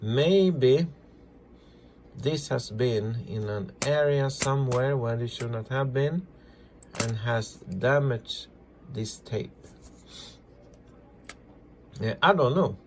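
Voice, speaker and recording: A man presenting a casual hands-on repair tutorial, talking through each step in halting, simple non-native English. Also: mid-range voice, noisy background. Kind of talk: casual hands-on demonstration, narrating as they work.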